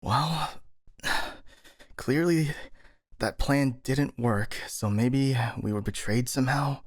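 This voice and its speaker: A man's voice: medium pitch, slow, dry recording, clean studio sound. A man playing a skeptical, worrying captured master ninja, sighing and hesitant, in a scripted ASMR audio roleplay. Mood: suspicious.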